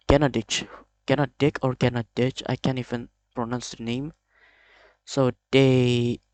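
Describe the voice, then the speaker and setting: A young man with an Indonesian accent, speaking English as a second language in a hesitant, halting confessional vlog full of restarts. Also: mid-range voice, clear, resonant tone, no echo. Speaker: video creator.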